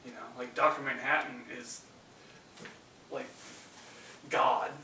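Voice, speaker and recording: Male co-host for casual film chat, bright, forceful delivery, recorded at a distance from the mic. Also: medium pitch, steady tone, takes it slowly. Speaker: podcast co-host. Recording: echoey room, distant mic, faint noise.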